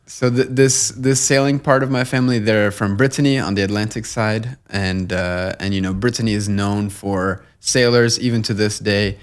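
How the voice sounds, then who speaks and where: A young man from Long Island with a low, smooth and resonant voice. He talks earnestly and at an even pace, with plenty of "uh" and "you know" and the odd restart, the way a guest speaks on a podcast interview. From Long Island, New York. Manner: measured and earnest, with frequent fillers and restarts. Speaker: guest, nonprofit co-founder. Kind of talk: conversational interview.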